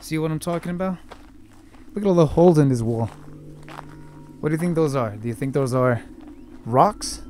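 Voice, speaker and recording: A man's voice: on the high side, normal speed, pauses often, darkish tone, soft background music. A young man in his twenties vlogging while exploring outdoors, thinking aloud and talking straight to viewers through a handheld camera. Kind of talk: casual vlog narration while exploring. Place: outdoors on open hillside with brush. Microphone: handheld camera at arm's length.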